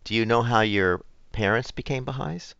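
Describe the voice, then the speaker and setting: A man hosting a community radio interview show, reading a measured, scripted introduction before questioning his guest. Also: medium pitch, gravelly, fairly bright tone, clean tone, no echo, dull audio.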